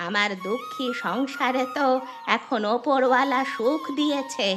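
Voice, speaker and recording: A woman's voice: sits high, measured pace. A woman voicing a kind, plain-spoken old village grandmother in a fairy-tale cartoon. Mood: cheerful, homely. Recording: music behind the voice.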